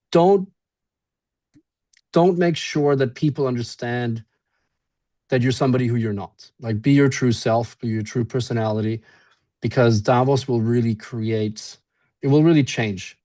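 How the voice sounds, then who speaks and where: Mellow-voiced Swedish man, relaxed. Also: medium pitch, normal speed. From Sweden. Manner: relaxed, friendly, many fillers. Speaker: event organizer. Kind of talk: webinar panel talk.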